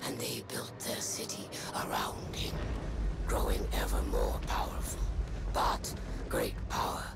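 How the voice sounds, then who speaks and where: A man voicing a solemn, weary alien seer for a game, croaky and breathy, speaking halting broken English in short phrases. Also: very deep voice, big pitch swings, whispered, exaggerated voice. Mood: solemn, reverent. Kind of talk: video game cutscene acting. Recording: music under the voice.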